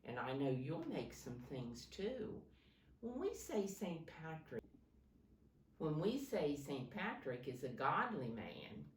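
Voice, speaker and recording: A woman with a low voice reads to young children in an instructive, simple storytelling style. Her intonation swings in a lively way as she explains and prompts. The recording is roomy and a bit distant, with faint noise behind her. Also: slow. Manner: simple, instructive, points out pictures and prompts listeners. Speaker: teacher reading a picture book to young children.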